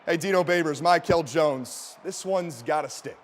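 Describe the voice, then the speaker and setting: Male sports broadcaster, fairly high voice, emphatic and punchy, calling highlights and filing TV reports. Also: moderate pace. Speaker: sports play-by-play announcer and TV reporter.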